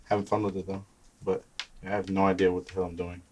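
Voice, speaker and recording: A young man, an artist, talking casually about his work in a documentary interview, relaxed and swearing freely. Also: sits low, fast talker, heavy background noise. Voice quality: laid-back, swears often, says "bro" and "like".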